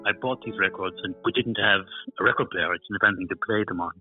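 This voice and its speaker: An older man, reminiscing in a halting way with repeated phrases. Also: deep voice, narrow, tinny audio, faint music behind. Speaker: composer. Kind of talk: reminiscing in conversation.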